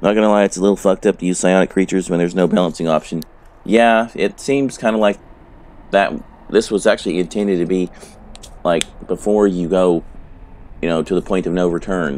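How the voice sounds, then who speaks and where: Man, very deep voice, hoarse from a sore throat, laid-back cursing gameplay chat. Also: a little mellow. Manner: profane, laid-back rambling. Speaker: let's play host. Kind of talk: casual gameplay commentary.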